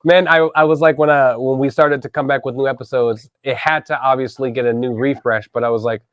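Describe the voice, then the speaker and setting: A man's voice: medium pitch, steady tone, brisk, no echo. Upbeat man in his thirties hosting. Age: 30s. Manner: enthusiastic, says dude and man a lot. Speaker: podcast host. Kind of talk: casual interview chat.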